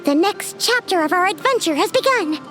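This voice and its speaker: A woman's voice: extremely high pitch, lively intonation, brisk. A woman voicing a small, bubbly, food-loving girlish companion who talks about herself in the third person, in a game cutscene. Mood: chirpy. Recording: game audio with music behind.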